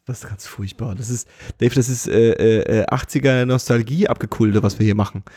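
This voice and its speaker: A man's voice: medium pitch, normal speed, warm tone, slightly rough. A man, co-hosting a casual podcast with dry, teasing irony. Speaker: podcast co-host. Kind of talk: casual chat.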